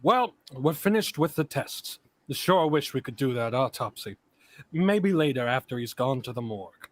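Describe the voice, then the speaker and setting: A man's voice: medium pitch, expressive, moderate pace, lightly acted. A man playing a matter-of-fact hospital doctor in a vintage-style radio drama, offhand and businesslike.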